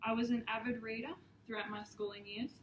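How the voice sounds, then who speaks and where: A young woman reads a prepared presentation in a steady, earnest voice, stumbling now and then with an um or a restart. Her voice rings in an echoey room. A faint hiss sits underneath. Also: on the high side. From Papua New Guinea. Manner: measured, earnest, occasional ums and restarts. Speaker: student presenter.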